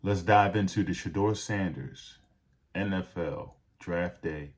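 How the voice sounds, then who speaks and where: A man hosting a show of casual sports commentary, talking straight to viewers in a deliberate, halting way. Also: low voice. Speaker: host.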